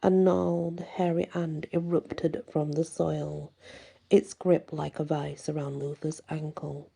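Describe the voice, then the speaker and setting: A woman reading a scripted horror story in ASMR style, with a measured, hushed, dramatic delivery. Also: low-pitched, level tone, gravelly, slightly rough. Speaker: storyteller, channel host.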